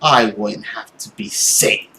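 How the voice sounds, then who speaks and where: Some guy doing a mocking bitter vampire, stretches words out all dramatic, big swings in pitch, bit of noise behind. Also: sing-song, creaky, bright, forceful tone, exaggerated voice. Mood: cold, aggrieved. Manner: theatrical, drawn-out, sneering delivery. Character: bitter, anguished male vampire villain, mocking and theatrical.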